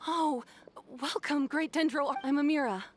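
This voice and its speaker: A woman playing a sweet, polite young flower shop keeper in a video game, gentle, cheerful and courteous. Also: high-pitched, normal speed, slight background noise, natural voice. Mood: sweet, welcoming.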